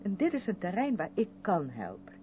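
A narrow, hissy vintage radio recording carries an earnest, defensive woman of the theatre. Her mid-pitched voice moves animatedly as she insists and justifies herself in radio drama style. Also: wildly swooping pitch, brisk. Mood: defensive, insistent. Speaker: radio play actor. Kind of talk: Dutch 1970s radio drama acting.

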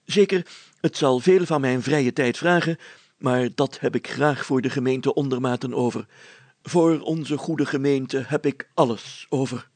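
A man's voice: mid-range voice, measured pace, a little mellow, dry recording, natural voice. A man voicing a pompous, blustering village mayor from a storybook, speaking in grandiose, declamatory style. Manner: grandiose, declamatory, easily indignant. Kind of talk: storybook character voice.

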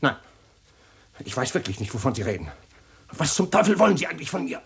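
A rattled husband with a lively, swinging voice, smooth with friends and sharp when cornered. He talks his way around things, in an old radio play. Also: on the high side, quick pace, a crowd in the background. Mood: furious. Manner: smooth, then flustered and shouting on the phone. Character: husband with a secret, defensive and rattled.

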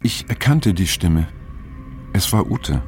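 An actor playing a brooding middle-aged diarist in an audio drama, telling his story in a sober, reflective, measured way. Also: low voice, expressive, vocal fry, darkish tone, full character voice. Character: brooding man past middle age, haunted first-person diarist. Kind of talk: literary audio drama monologue. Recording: music behind the voice. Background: music.

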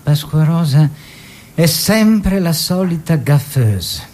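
Genial bohemian painter, lively swooping voice. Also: male voice, a little high. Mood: fondly teasing. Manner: theatrical storytelling with mimicked dialogue and drawn-out words. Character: Nino, genial aristocratic bohemian painter husband, fond raconteur. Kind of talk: Italian stage-style radio drama, narrated recollection.

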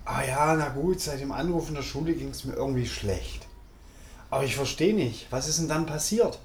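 A man playing a dazed, grumbling middle-aged father in a radio play, with a halting, bewildered manner. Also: medium pitch, lively intonation, echoey room, slight background noise, natural voice. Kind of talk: German radio play acting.

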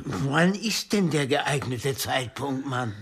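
A man acting in a radio drama as a blunt, weary friend, husky and rough in voice, with curt colloquial speech. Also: mid-range voice, expressive, takes it slowly, heavily acted. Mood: exasperated, scolding. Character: gruff, blunt male friend, weary, scolding but caring.

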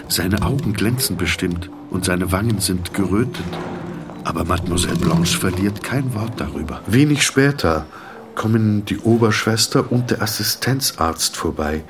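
A man narrating a literary audio drama in an introspective, dramatic way with deliberate phrasing. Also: low-pitched, expressive, music in the background.

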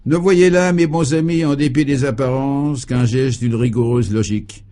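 Guy doing a dreamy old professor in a radio comedy, soft dark tone, very polite and pompous about nonsense. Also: mid-range voice, steady tone, normal speed, voice pushed up, close to an everyday voice. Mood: solemn, absurd. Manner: courteous, ceremonious, solemnly absurd. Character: dreamy, proud, courteous eccentric old male professor. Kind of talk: French comic radio serial acting.

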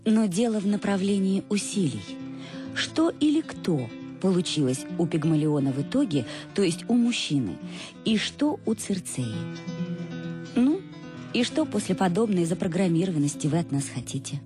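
An actress playing a reflective, embittered middle-aged wife in a radio play, confessing with irony to her absent husband in a husky voice. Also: on the low side, big pitch swings, moderate pace, music in the background. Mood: musing, ironic. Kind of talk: dramatic first-person monologue in a radio play. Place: dry studio.

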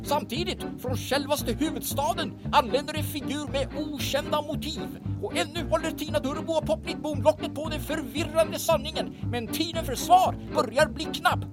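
A woman's voice: high-pitched, steady tone, few pauses. A woman narrating a scripted recap for an audio drama serial, with music playing under her. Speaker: announcer.

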